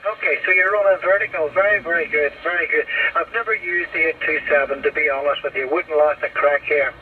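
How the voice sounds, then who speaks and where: A man's voice: fairly high pitch, fairly even intonation, brisk. A man chatting casually as an operator over a two-way radio transmission. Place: received over radio, filmed off the set's speaker. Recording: muffled noisy CB radio reception, distant.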